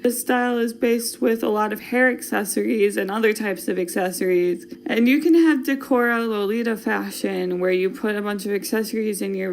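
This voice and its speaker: A woman with a Wisconsin accent hosting a casual podcast, talking in a playful, jokey, chatty way. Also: on the high side. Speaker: podcast host. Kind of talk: casual fashion podcast chat.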